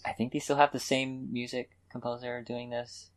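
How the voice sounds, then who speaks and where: A man's voice: mid-range voice, flat delivery, moderate pace. A man co-hosting a podcast in casual chat about anime, with a tentative, hedging way of talking. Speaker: podcast co-host.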